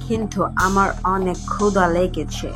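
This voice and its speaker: A woman's voice: medium pitch, moderate pace, over music, natural voice. A woman playing a young woman from China who came for love, in a cartoon.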